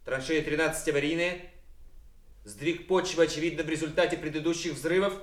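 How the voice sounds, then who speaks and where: High echoing man acting an urgent soldier. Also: steady tone, a little fast, natural voice. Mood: urgent, official. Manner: raised, urgent military calling. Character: urgent, brisk soldier relaying orders and reports. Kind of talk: Soviet radio drama acting. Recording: echoey, noisy radio drama field scene.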